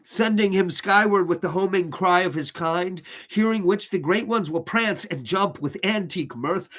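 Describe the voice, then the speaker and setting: A man's voice: fairly high pitch, fairly bright tone, voice pushed up, close to an everyday voice. A man voicing an otherworldly, godlike speaker who recites archaic fantasy prose in a weird, florid, declamatory manner.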